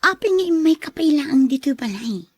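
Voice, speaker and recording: A woman's voice: sits high, expressive, normal speed, a little mellow, no room sound. An actress playing a young student, a coaxing, secretive girlfriend who is after money, in a radio drama. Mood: secretive, wary.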